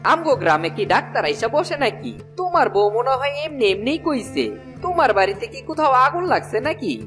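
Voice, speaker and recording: A woman acts out a talkative cartoon village man, fairly high-pitched and very animated. He is inquisitive and knowing, with a rural Bangladeshi Bengali accent, over faint music. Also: brisk. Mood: curious, questioning. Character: chatty male villager, the farmer's advice-giving friend. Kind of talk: cartoon voice acting.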